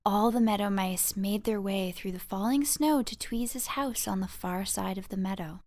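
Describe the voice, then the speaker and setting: A woman narrating a scripted children's audiobook with expressive bedtime storytelling. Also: medium pitch, moderate pace, dry recording.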